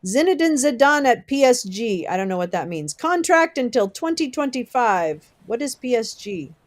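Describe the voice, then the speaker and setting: A young woman hosting a casual livestream, chatting with viewers, swearing and laughing often, her voice wearing hoarse. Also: fairly high pitch, big pitch swings, normal speed. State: losing her voice. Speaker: livestream host. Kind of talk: casual livestream chat reading viewer comments.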